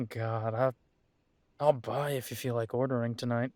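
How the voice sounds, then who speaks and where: A man playing an earnest young adult werewolf son in an audio drama, talking in a measured, steady way. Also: mid-range voice, no echo, studio quality. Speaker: audio drama creator and lead voice.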